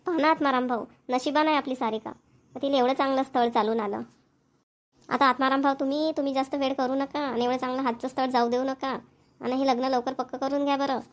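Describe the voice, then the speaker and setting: A woman's voice: sits high, fairly even intonation, quick pace, lightly acted. A woman voicing an eager, practical village wife in a homemade cartoon, chatty and insistent in Marathi with a Vidarbha accent. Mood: eager, urging.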